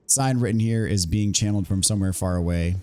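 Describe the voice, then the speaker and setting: Hoarse man calmly guiding a game. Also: on the low side, fast talker. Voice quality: recovering from voice loss. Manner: relaxed, explanatory, with frequent ums and restarts. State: hoarse, recovering voice. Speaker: game walkthrough host. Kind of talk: casual gameplay commentary.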